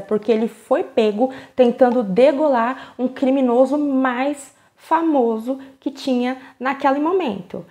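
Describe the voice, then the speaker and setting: A woman's voice: sits high, a little mellow. A Brazilian woman hosting a true crime channel, telling the story in a casual, conversational way with chatty asides. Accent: Brazilian Portuguese.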